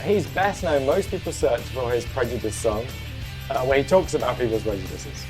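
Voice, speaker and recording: A man co-hosting a casual comedy review, croaky from a sore throat and teasing dryly. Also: medium pitch, expressive, moderate pace, over music, poor audio. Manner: dry, teasing. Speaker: co-host of a review show.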